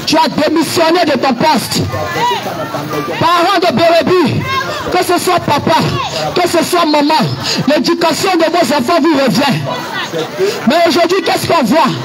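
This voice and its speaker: A man preaching an outdoor evangelistic sermon in Ivorian French, shouting and exhorting his listeners with repeated refrains. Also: very high-pitched, low-quality recording. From Ivory Coast. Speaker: street preacher. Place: outdoors in a town, with a crowd. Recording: loud street crowd and music.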